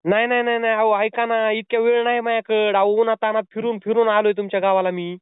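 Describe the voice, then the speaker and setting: Fast, insistent man on a tinny phone line. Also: high voice, little pitch movement, clean tone, no room sound. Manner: insistent, pleading, playful. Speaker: prank caller posing as a delivery man. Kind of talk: prank phone call. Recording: tinny phone call recording.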